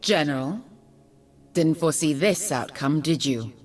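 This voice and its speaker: An actress voicing a regal, composed mage-politician from a noble family in a video game, with formal, measured, haughty speech. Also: female voice, low-pitched, expressive. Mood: cool disdain. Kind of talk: scripted video game voice lines.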